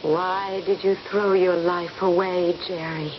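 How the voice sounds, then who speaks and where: An actress playing an accusing, sorrowful widow in a 1970s radio drama, with a solemn, theatrical, insistent delivery. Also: female voice, fairly low pitch, level tone, slow. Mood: mournful, reproachful. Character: accusing, sorrowful young widow in a funeral vision. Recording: muffled, noisy old radio broadcast.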